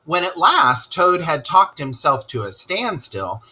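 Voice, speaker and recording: A man narrating a children's story aloud in an expressive storytelling style. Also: fairly high pitch, a little slow, slightly rough.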